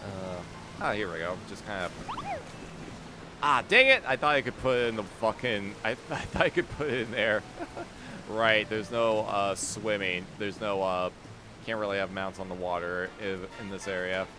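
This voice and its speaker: A man's voice: fairly low pitch, moderate pace, noisy background. A man hosting a casual game stream, rambling and swearing, often repeating himself, his voice slightly strained. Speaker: livestream host.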